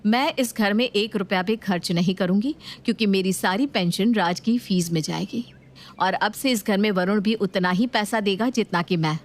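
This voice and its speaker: A woman's voice: medium pitch, normal speed, close to an everyday voice. A woman voicing a proud, defiant widowed mother in a cartoon, speaking firmly and sharply. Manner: firm, sharp.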